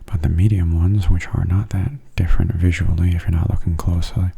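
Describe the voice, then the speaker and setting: A man giving soft-spoken ASMR game commentary in a gentle, breathy, unhurried voice that often drops close to a whisper. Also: level tone. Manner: gentle, unhurried, low-key. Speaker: gaming video host.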